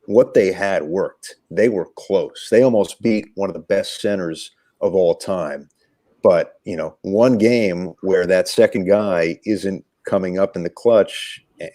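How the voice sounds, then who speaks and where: A man's voice: low-pitched, a little mellow, dry recording. A man giving conversational sports analysis as an interview guest, reflective and emphatic in his phrasing, like a storyteller. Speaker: sports radio play-by-play commentator, interview guest.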